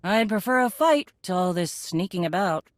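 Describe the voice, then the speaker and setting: A woman's voice: medium pitch, lively intonation, moderate pace, no echo, studio quality, lightly acted. An actress playing a tough, blunt woman who leads a band of warriors in a video game. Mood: firm, impatient. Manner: blunt, commanding.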